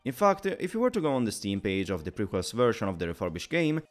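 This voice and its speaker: Animated man narrating a scripted review. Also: lowish voice, quick pace. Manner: wordy, explanatory, addresses the viewer directly. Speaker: video game reviewer.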